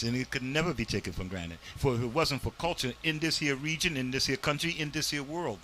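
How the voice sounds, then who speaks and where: Bright-voiced man hosting community TV. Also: medium pitch, slight background noise, rough recording. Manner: preachy, emphatic, uses "this here". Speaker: community TV host. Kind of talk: casual interview and commentary. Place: studio.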